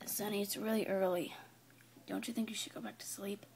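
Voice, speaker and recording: A woman voicing a sleepy, patient horse in an animal story, speaking in a hushed, soothing way. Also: fairly high pitch, steady tone, moderate pace, a little hiss or hum, close to an everyday voice. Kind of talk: low-key character acting in an amateur animal story.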